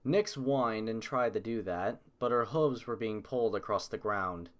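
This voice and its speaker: A man's voice: lowish voice, moderate pace. A man reading scripted story narration as the narrator.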